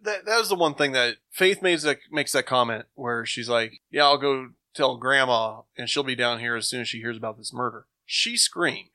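Bright-voiced man chatting enthusiastically. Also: medium pitch, no room sound. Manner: enthusiastic, rambling, with thinking pauses. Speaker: podcast host. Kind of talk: casual chat.

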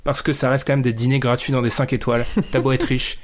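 A man's voice: mid-range voice, flat delivery, quick pace, a little hiss or hum. A man hosting a casual basketball chat, chatty and joking as he hands questions to the others. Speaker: podcast host.

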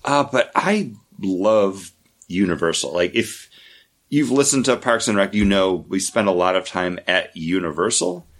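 Male podcast host, low register, easygoing and rambling. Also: unhurried. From lives in Orlando area. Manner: relaxed, rambling, talks through beer and theme parks. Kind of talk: casual podcast chat.

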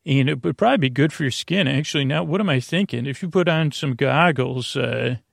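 A man hosting a bedtime podcast in a meandering, halting monologue, often trailing off mid-thought, with a creaky, gravelly voice. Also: medium pitch, no room sound, clean studio sound. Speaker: podcast host. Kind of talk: rambling improvised bedtime monologue.

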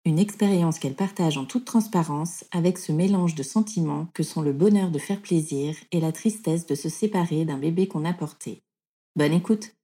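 A woman's voice: sits low, moderate pace. A woman hosting a podcast, reading a scripted introduction and then asking interview questions. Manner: steady read narration. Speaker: podcast host.

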